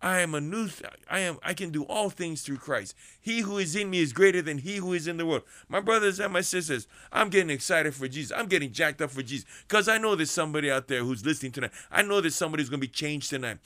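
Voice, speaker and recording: A man preaching a fervent radio sermon to people in prison, repeating phrases and swinging between high urgent calls and low hushed passages. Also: fast, hardly any pauses, dry recording. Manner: fervent, repetitive preaching with big pitch swings.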